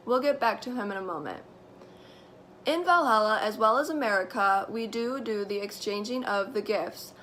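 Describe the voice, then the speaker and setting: A teenage girl giving a mock-serious school presentation, telling a made-up story in a deadpan, mock-formal way. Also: medium pitch, slight background noise. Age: teens. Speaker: student presenter.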